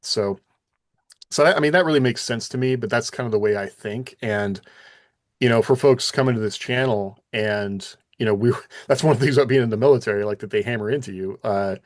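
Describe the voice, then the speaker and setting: A man's voice: medium pitch, fairly quick, no echo, studio quality. A man hosting a podcast in casual discussion, talking conversationally as he explains and asks questions. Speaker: podcast host.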